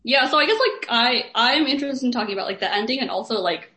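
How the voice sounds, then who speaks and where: A woman's voice: a little high, animated, quick pace, bright, forceful tone. A woman co-hosting a podcast, casually discussing a story and tentatively searching for her words. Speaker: podcast co-host.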